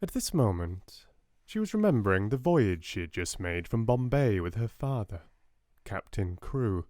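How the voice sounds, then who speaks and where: A soft-spoken man with a British accent, narrating an audiobook in a measured, restful bedtime style. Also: lowish voice, very animated, dry recording, clean studio sound.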